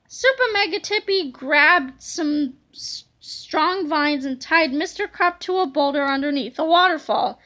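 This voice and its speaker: A woman narrating a children's storybook aloud in an expressive read-aloud style. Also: sits high.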